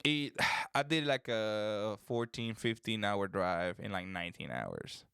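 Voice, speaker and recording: A man's voice: lowish voice, a little slow, vocal fry, clean tone, no echo, studio quality. A young man from the Dominican Republic, rambling in casual chat as a podcast host. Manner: relaxed, rambling, says "bro".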